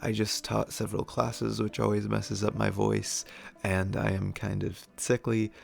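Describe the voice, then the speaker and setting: A man hosting a video essay in a casual, opinionated commentary style, his voice hoarse and worn as if he is losing it. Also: on the low side, level tone, a little slow.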